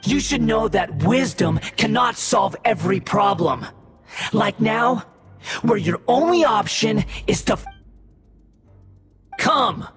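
A pompous, slow-spoken villainous young god. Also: male voice, sits high, lively intonation, vocal fry, slightly rough, heavily acted. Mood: mocking, menacing. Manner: pompous, theatrical, contemptuous. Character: arrogant, grandiose young male newborn god in a giant machine. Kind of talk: video game villain acting. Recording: loud orchestral game music behind.

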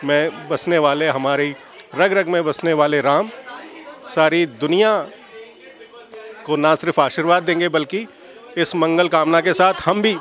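A man in his forties speaking in a measured, fervent way to a reporter in a newsroom. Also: fairly high pitch, a bit bright, a faint crowd. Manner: measured, fervent, a little formal. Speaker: newsroom colleague interviewed. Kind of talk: devotional vox pop. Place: indoor newsroom. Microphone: handheld mic held by reporter.

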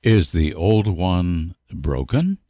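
A man reading short example sentences for language learners with deliberate, careful enunciation. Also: sits low, sing-song, mellow, dry recording, clean studio sound. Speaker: language teacher.

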